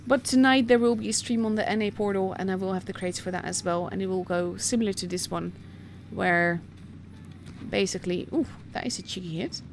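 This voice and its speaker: A woman's voice: mid-range voice, fairly quick, a little hiss or hum. A woman with a Dutch accent giving casual, chatty live commentary on a game as a stream host. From Netherlands. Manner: chatty, informal, reacting as play unfolds.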